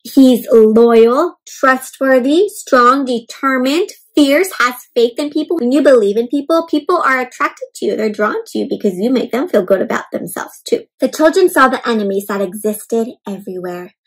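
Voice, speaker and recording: A young adult woman reading a picture book aloud to children in an expressive, teacherly way, pausing to explain and ask questions. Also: a little high, breathy edge, poor audio. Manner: expressive, emphatic, teacherly, slowing on key words. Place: indoor room at home.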